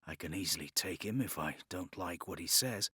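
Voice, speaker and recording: A man's voice: deep voice, moderate pace, sharp tone, husky, no room sound, clean studio sound, pitched below the performer's own voice, heavily acted. A man playing a proud, ambitious male assassin turning traitor in an audiobook, with a terse, guarded, menacing manner.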